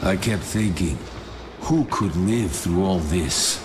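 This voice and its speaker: A man's voice: deep voice, takes it slowly, background noise. A man playing a bitter, defiant ex-enemy soldier in a military video game, with a sardonic manner. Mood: grim narrating. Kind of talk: military game cutscene acting and narration. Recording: music behind the voice.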